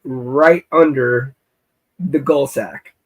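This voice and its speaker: A man guesting on a live-streamed video chat, telling animated stories and laughing often. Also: mid-range voice, slow pace, highs cut off. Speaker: musician guest.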